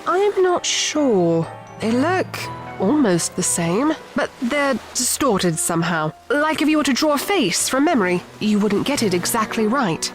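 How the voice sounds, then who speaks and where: A woman's voice: a little high, sing-song, a little slow, music in the background. A woman playing a wary, superstitious but practical boatwoman from an ancient land in an audio drama, earnest and apprehensive. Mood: uneasy, puzzled.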